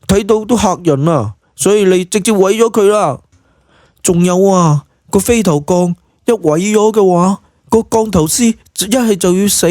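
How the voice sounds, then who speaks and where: A woman playing a bashful yet bossy young witchcraft expert in a Cantonese audio drama, expressive and huffy. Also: medium pitch, dry recording, studio quality, lightly acted.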